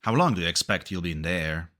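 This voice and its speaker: A man's voice: deep voice, animated, fast talker, pushed and bright. A man playing a concerned, dutiful staffer in an amateur game fan dub.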